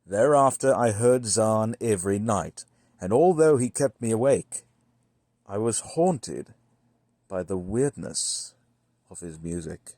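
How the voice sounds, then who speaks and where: A man with a fairly low voice narrates literary horror very slowly. He phrases each passage deliberately and leaves dramatic pauses between them. The sound is dry and close, with little room in it. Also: expressive, mellow, no echo. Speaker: audiobook-style reader. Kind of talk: scripted literary narration of a gothic horror story.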